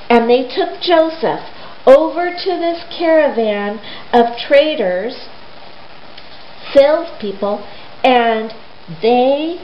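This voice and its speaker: A woman storyteller retelling a tale informally for children, conversational and expressive, often posing rhetorical questions. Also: fairly high pitch. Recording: roomy, dull lo-fi, faint hiss.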